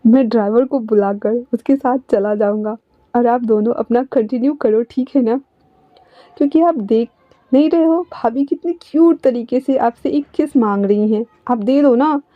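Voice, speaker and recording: A woman's voice: on the high side, moderate pace, dry recording, muffled recording, natural voice. A woman voicing a teasing young man who jokes at others in a storybook reading. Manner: teasing, laughing.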